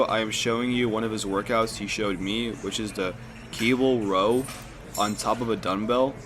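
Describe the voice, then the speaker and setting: A young man whose first language is Hungarian, narrating a school video project in a relaxed, conversational way with fillers. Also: mid-range voice, slight background noise.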